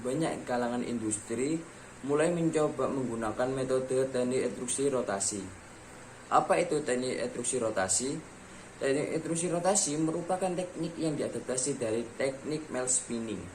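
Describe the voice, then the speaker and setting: A man's voice: medium pitch, a little hiss or hum, rough recording. A man reading a scripted educational voice-over about materials, stepping steadily through a list of points. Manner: reads steadily from a script, listing steps in order. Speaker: student presenter.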